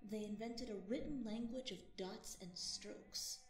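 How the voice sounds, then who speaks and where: A woman's voice: medium pitch, measured pace, natural voice. A woman voicing a rich, curious young boy adventurer in an audio drama, speaking in an old-fashioned formal way. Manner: eager, archaic formal speech. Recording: roomy reverb, distant from mic, music bed.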